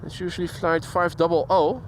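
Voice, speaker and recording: A man's voice: fairly high pitch, flat delivery, moderate pace, low-quality recording. Chatty man hosting outdoor live stream. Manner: chatty, greets viewers by name. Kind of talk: casual live commentary. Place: outdoors near an airport. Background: faint outdoor traffic and voices.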